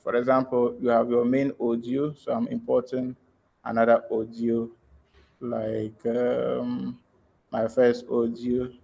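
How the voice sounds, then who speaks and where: A man's voice: mid-range voice, monotone, slow pace, vocal fry, warm tone, slightly rough. A man giving an informal online tutorial as a trainer, explaining step by step in a hesitant way with check-in questions. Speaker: trainer in an online audio editing workshop. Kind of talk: live screen-share tutorial, informal explaining. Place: online video call.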